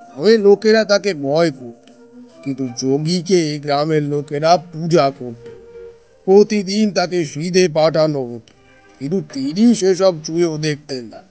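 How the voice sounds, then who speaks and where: Man acting as a grave older brother telling a creepy village story, fairly high voice, music underneath, audio drama. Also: normal speed, a little mellow, natural voice. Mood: grave, ominous. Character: grave elder brother recounting an ominous village tale. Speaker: storyteller character.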